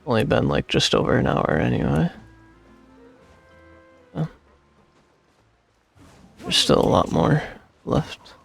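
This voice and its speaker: A man streams a video game in a heavily fried, very husky voice. He reads story text and chats casually, recorded dry and close with faint music behind. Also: mid-range voice, very animated, slow, long pauses, no echo. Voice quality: gravelly, husky.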